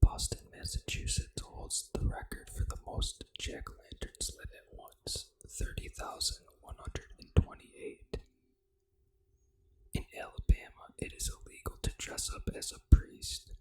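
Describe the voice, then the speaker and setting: An ASMR host reading aloud in a hushed, fully unvoiced whisper, with deliberate phrasing. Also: very high voice, little pitch movement, poor audio. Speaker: ASMR gaming channel host.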